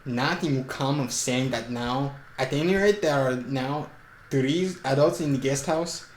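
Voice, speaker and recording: A composed, serious gentleman played by a woman in an amateur drama reading. The delivery is formal and measured, with a German accent. The room is echoey, with faint noise. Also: extremely low pitch. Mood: grave, restrained.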